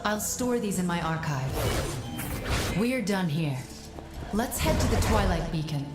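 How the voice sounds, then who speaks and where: Echoing, low-voiced female spirit guide. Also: lively intonation. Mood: composed, guiding. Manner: measured, formal, instructive delivery. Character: calm, wise female living sanctum spirit guiding a warrior. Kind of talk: scripted video game voice acting. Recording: echoing voice over game music.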